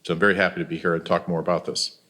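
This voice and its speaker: A man's voice: low-pitched, flat delivery, rapid-fire, sharp tone. An American man talking conversationally as a panelist and consultant. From Louisiana, US.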